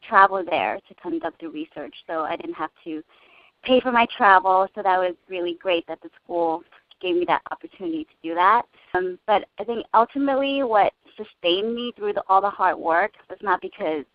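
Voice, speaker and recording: A young woman who grew up speaking Cantonese, talking in a casual interview in an earnest, thoughtful way with frequent pauses to find her words. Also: mid-range voice, no room sound. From United States. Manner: earnest, thoughtful, many hesitations. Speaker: interview guest. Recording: dull lo-fi dry recording.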